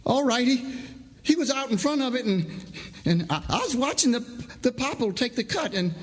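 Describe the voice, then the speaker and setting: A man's voice: extremely high pitch, lively intonation, pitched above the performer's own voice. Man acting a nervous, stammering rural sawmill hand. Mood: shaken, nervous. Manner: stammering, halting, repeating words. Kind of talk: staged short story reading, character dialogue. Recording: reverberant hall, stage reading.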